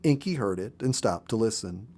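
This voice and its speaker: A man reading a story aloud as an audiobook narrator, in a measured, dramatic and expressive storytelling manner. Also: lowish voice.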